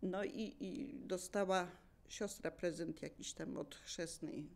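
An older woman casually reminiscing as a local resident, in a chuckling, animated storytelling manner. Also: on the low side, takes it slowly, creaky. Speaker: local resident sharing memories.

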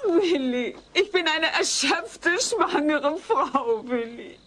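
High-voiced exasperated pregnant wife. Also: female voice, lively intonation, slow pace, fairly bright tone, a little hiss or hum, heavily acted. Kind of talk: German comedy audio drama dub.

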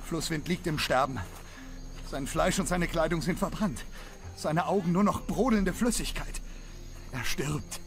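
An actor playing an urgent, troubled half-elf leader in a fantasy audio drama. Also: male voice, a little high, a little slow, natural voice. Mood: grave. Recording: loud music behind the voice.

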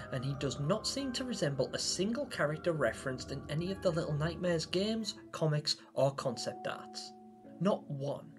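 Higher-pitched young man presenting theories. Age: young adult. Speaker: video host presenting game theories. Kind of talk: semi-scripted theory commentary to viewers. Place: small home room, indoors. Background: background music.

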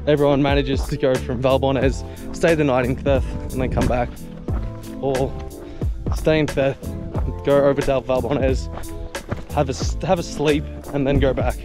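A man's voice: medium pitch, flat delivery, normal speed, long pauses. A man chatting casually to his camera while hiking a mountain trail, joking and sarcastic. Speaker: travel vlogger. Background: music.

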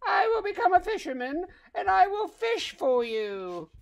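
A storybook mother bunny, put on by a man reading aloud, doting and determined. The voice sits very high and swoops dramatically through every phrase, loud and emphatic. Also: very animated, measured pace, creaky, lo-fi sound, heavily acted. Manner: exaggerated, swooping storybook delivery.

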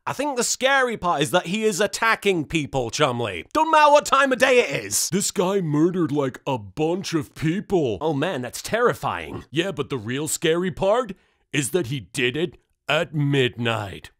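A man's voice: fairly high pitch, sing-song, normal speed, fairly bright tone. A man in his thirties giving a playful, sarcastic comedy recap to camera in a theatrical manner. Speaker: host of an episode recap and reaction series.

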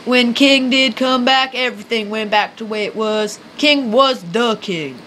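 A scrappy farm hick turned rail rider played by a woman in an amateur radio skit. The voice is bright and forward, folksy and full of old slang, over faint background noise. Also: on the high side, a little slow. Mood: folksy, cocky. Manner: folksy, ungrammatical, slangy talk.

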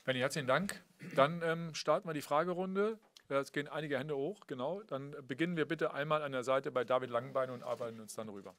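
Guy moderating a press conference, mid-pitched, brisk and polite. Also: a bit bright.

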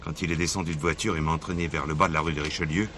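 Noisy radio drama, streetwise inspector, low voice, dogged, insistent, slightly cheeky. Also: male voice, fairly even intonation, racing. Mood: confiding, determined. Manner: insistent, deferential yet cheeky. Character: dogged, streetwise male police inspector under suspicion. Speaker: actor. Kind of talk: French radio play acting. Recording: noisy archive drama recording, vehicle ambience.

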